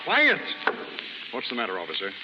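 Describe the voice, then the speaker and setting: An actor playing a stern, brisk night-court judge with a curt, authoritative manner in a 1930s radio drama. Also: male voice, mid-range voice, wildly swooping pitch, music in the background. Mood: loud, commanding. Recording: noisy muffled 1930s radio recording.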